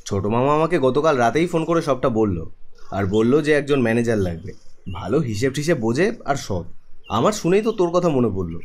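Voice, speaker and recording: A man's voice: mid-range voice, very animated, fast talker, lightly acted. A man voicing a breezy, teasing young best friend in a cartoon, with a West Bengal Bengali accent. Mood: enthusiastic. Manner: breezy, colloquial. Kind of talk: animated cartoon dialogue.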